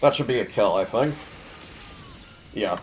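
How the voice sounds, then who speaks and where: Young male gamer, stuffy with a cold, low-pitched, offhand play-by-play, faint hum behind. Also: a little slow. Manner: relaxed, offhand, thinking aloud. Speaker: mobile game player. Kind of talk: casual gameplay commentary.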